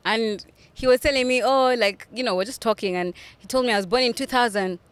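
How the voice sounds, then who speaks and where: A woman's voice: fairly high pitch, fairly quick, smooth, dry recording. A young woman from Uganda with a Runyankore-tinged Ugandan accent, hosting a podcast interview in a playful, teasing way and laughing often. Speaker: podcast host.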